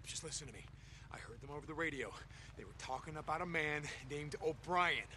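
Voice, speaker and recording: A man acting an urgent, troubled biker drifter in a video game, halting yet insistent. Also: on the high side, lively intonation, pushed and bright. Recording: noisy vehicle ambience.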